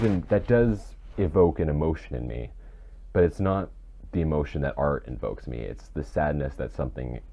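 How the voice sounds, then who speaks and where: Very deep, muffled, choked-up man. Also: dark tone, a little hiss or hum. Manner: earnest, halting, searching for words. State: choked up, tearful. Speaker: podcast co-host. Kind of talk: casual, reflective discussion. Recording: muffled, dull microphone.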